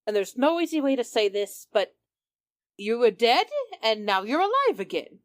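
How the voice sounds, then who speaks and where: A woman's voice: fairly high pitch, big pitch swings, normal speed, dry recording, clean studio sound. A woman playing in an improvised tabletop roleplay, speaking in a blunt, matter-of-fact manner. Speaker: tabletop game player.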